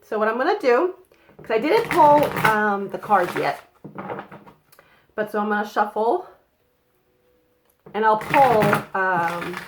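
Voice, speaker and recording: A young woman with a cold and a slightly nasal voice, giving a casual card reading to her viewers with lots of fillers and quick "okay?" checks. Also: medium pitch, background noise. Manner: chatty, many fillers and tag questions. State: has a cold. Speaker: psychic card reader. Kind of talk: casual tarot-style reading to camera.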